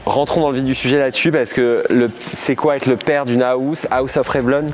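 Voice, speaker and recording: A man interviewing a guest in a relaxed way, asking long, winding questions full of hesitations. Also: medium pitch, moderate pace, a little hiss or hum. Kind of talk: casual interview. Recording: faint music underneath.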